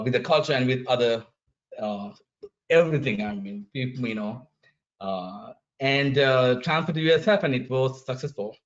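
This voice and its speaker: A man from Sri Lanka answering a panel question as a transfer student, halting with many fillers and restarts. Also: medium pitch, muffled recording.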